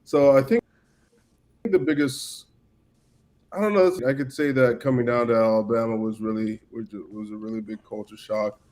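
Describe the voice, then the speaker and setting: Guest spot: easygoing man in his thirties, cameroon-born, mid-pitched voice, rambling storyteller. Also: fairly even intonation, normal speed, darkish tone. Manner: easygoing, many "you know" fillers and restarts. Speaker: podcast guest, former player. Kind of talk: casual storytelling chat.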